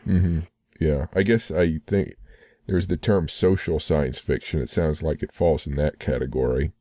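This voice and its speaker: A man's voice: a bass voice, fairly even intonation, unhurried, dark tone. A man hosting a casual interview, asking questions and offering short responses. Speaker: interviewer, podcast host.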